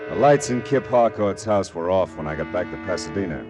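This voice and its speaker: An actor playing a wry, hard-boiled private detective with an American accent, in clipped, sardonic 1940s radio noir style. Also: male voice, sits low, lively intonation, fairly quick, over music. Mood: brooding, wry. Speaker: lead actor and narrator.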